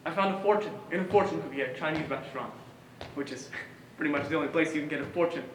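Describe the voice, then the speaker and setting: A man plays an earnest guardian figure in a stage play, devoted and protective. His voice sits a little high and he delivers his lines with deliberate, emphatic pauses. It carries from a distance through a reverberant hall with faint noise. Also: lightly acted. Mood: musing. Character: earnest, protective male guardian with patchy memory. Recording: echoey hall from far off, noisy.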